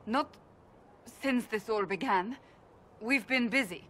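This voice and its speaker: An actress playing a proud, gruff warrior woman in a fantasy video game, clipped, defensive and emphatic. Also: on the high side, takes it slowly, a bit bright. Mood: irritated. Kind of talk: cinematic game dialogue acting. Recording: faint background noise.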